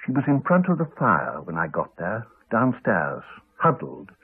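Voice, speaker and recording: Husky, lively English country doctor. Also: male voice, on the low side, unhurried, exaggerated voice. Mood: hesitant, serious. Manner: hesitant, chatty, clipped phrases. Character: courteous, rueful English country doctor, wry and hesitant. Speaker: actor in a radio play. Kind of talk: 1980s British radio drama acting. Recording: slightly dull old radio recording.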